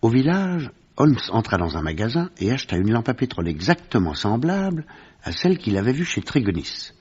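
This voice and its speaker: An actor playing a gentleman narrator who recounts a detective mystery in formal, vivid French radio storytelling with a husky voice. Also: mid-range voice, big pitch swings, brisk, dull audio. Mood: narrating, suspenseful.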